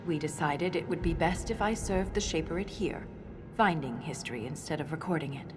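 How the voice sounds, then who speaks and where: A principled dwarf historian from a video game, played by a woman, with a fairly low female voice. She speaks in a measured, precise manner with a dry, stubborn edge. Music plays clearly behind her. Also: natural voice. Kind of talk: video game cutscene dialogue.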